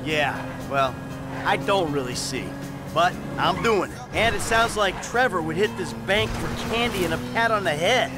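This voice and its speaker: Loud music runs over this high, lively American male voice. a middle-aged bank robber from a crime game. He talks casually and profanely, world-weary but reassuring. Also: normal speed. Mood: exasperated, explaining. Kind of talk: naturalistic video game dialogue.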